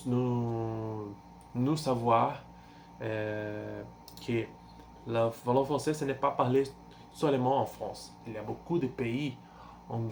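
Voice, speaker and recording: A man in his twenties from Brazil, speaking French with a Brazilian Portuguese accent and a Portuguese first language. He talks in a halting, casual way, pausing often to search for words, with frequent fillers and restarts. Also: medium pitch, darkish tone, a little hiss or hum. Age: 20s. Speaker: language learner vlogger. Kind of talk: casual self-introduction.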